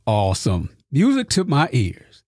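A man's voice: mid-range voice, wildly swooping pitch, unhurried, darkish tone, no echo. A man hosting a podcast, upbeat and a little formal, leaning into each introduction. His voice sits in the middle of the male range. The sound is dry and close, with almost no room behind it. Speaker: podcast host and instructor. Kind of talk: scripted podcast interview.

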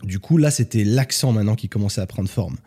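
A man from France, a podcast guest, telling stories in a relaxed, casual chat. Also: on the low side, fast. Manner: relaxed storytelling, animated when joking.

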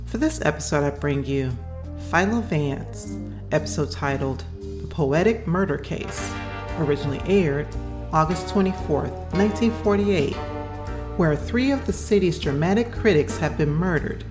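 Music plays clearly under a male podcast host. He reads a scripted show introduction. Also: fairly high pitch, animated, moderate pace.

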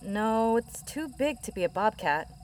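Higher-pitched, determined woman hiker in peril. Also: animated, unhurried, darkish tone, a little hiss or hum. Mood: alert, curious. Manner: urgent, foul-mouthed, reassuring under pressure. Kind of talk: full-cast audio drama acting.

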